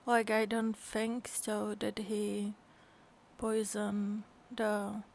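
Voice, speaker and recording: A woman live-streaming a game, giving casual, halting commentary as she thinks aloud. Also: medium pitch, completely flat delivery, creaky, slight background noise.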